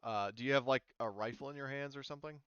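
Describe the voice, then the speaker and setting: Male game master, casual tabletop narration. Smooth tone, dry and slightly dull recording. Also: mid-range voice, quick pace, a bit bright, no echo. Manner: casual, thinking aloud.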